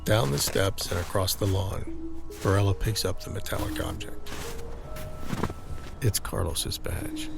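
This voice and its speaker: A man's voice: low voice, measured pace, creaky, pauses often. A man reading scripted dramatic narration for an audio drama. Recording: dramatic music under the voice. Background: music.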